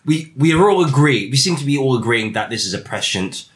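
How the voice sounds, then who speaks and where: A man hosting a comedic casual chat, talking in a deadpan, mock-serious way. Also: mid-range voice, fairly quick.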